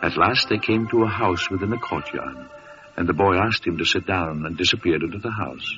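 A man's voice: low voice, brisk. A man narrating a story solemnly in the style of old-time radio drama. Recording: muffled vintage radio recording with music.

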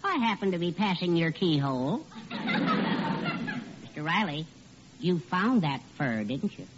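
A man's voice: high-pitched, wildly swooping pitch, takes it slowly, heavily acted. An actor playing a meek, henpecked little man, prim and plaintive, in a vintage radio sitcom. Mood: prim, worried. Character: meek, mousy, henpecked little male neighbor. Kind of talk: 1940s radio sitcom acting. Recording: muffled, noisy old radio recording.

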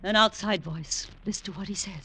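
An actress playing an anxious, devoted Roman wife, dramatic and pleading, in classic radio drama style. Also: female voice, medium pitch, brisk, sharp tone, a little hiss or hum, natural voice. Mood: fearful.

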